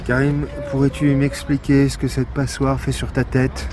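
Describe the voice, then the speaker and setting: A man's voice: medium pitch, completely flat delivery, normal speed, noisy background, lightly acted. A man playing a weary, sardonic police detective in a naturalistic audio drama, with a dry, grumbling way of talking.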